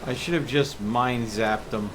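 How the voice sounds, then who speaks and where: A man's voice: mid-range voice, measured pace. A man live streaming a video game, musing to himself in casual commentary. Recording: game noise behind commentary. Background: video game ambience.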